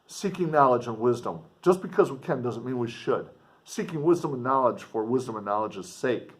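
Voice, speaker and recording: A man with a mid-range voice reads literary prose aloud in a teacherly way. He stresses key phrases and draws them out, leaving dramatic pauses. His delivery is expressive and made for explaining as much as performing. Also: mid-range voice. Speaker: teacher reading a novel aloud with commentary.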